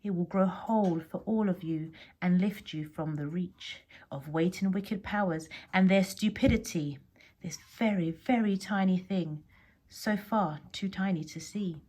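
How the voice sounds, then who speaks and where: A woman's voice: on the low side, a little slow, mellow, poor audio. Protective fairy godmother acted by a woman storyteller, urgent and rhythmic, for a children's poem reading. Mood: earnest, urging. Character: protective fairy godmother, earnest, giving a magic gift. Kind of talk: poem read aloud to children.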